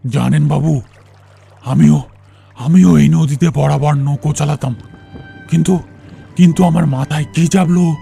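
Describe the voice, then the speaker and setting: Man playing a gravelly, mysterious rustic boatman ghost. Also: on the high side, steady tone, unhurried, long pauses, mellow, exaggerated voice. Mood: haunted, sorrowful. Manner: rustic, deferential speech, repeating phrases. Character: mysterious old-fashioned rustic boatman ghost, fatalistic and evasive. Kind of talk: Bengali animated ghost story acting. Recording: music under the voice.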